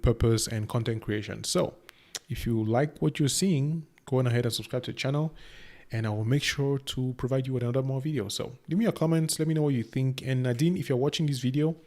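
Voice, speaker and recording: Young man, Cameroonian accent, mid-pitched, rambling reaction host thinking aloud. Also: darkish tone. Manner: thoughtful, rambling with restarts. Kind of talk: casual reaction commentary.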